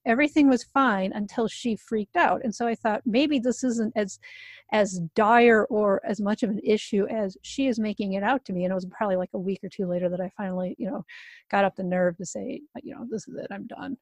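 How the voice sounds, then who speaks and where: A woman's voice: medium pitch, no room sound. Woman telling a personal story, dry close sound. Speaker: podcast guest, writer. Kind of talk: casual personal storytelling in an interview.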